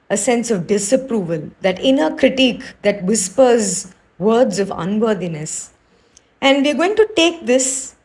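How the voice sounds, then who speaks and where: A woman teacher leading a guided meditation, speaking in a soothing, unhurried, gently instructing way. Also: fairly high pitch.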